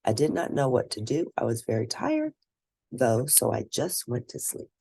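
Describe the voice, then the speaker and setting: Female storybook narrator, gravelly and husky, lively pitch movement, deliberate delivery, close dry recording. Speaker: read-aloud narrator. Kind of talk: scripted storybook reading in first person.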